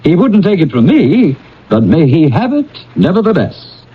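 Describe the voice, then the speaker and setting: A jovial, good-natured gentleman in an old radio play. His hearty voice swings very widely in pitch and is slightly husky, over a muffled broadcast sound. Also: very animated, normal speed, full character voice. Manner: hearty, cheerful. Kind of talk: old-time radio drama acting.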